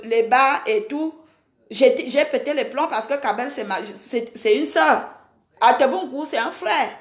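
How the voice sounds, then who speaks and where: A woman's voice: high voice, echoey room. A woman in her forties, from Cameroon, ranting indignantly at a rival, scolding and repeating herself. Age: 40s. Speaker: social media personality. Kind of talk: angry Facebook live rant.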